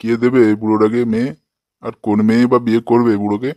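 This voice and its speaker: A man's voice: mid-range voice, flat delivery, racing, warm tone, dry recording, lightly acted. A man playing a grumpy, lonely old grandpa in a comic cartoon, complaining and swearing coarsely. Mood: indignant.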